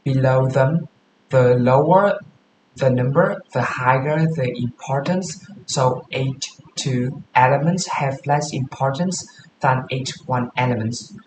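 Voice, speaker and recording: A man from Vietnam with a Vietnamese accent, live streaming as a coding beginner and reading course text aloud in halting English. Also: medium pitch, roomy sound, background noise. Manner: halting, often stops to read and correct himself.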